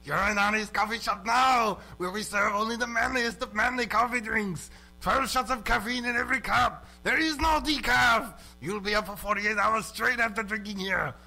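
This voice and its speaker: A man playing a bellowing, aggressive macho pitchman in a parody radio commercial, forceful and over the top. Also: fairly even intonation, moderate pace, creaky, faint music behind, exaggerated voice, rough recording. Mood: aggressive hype.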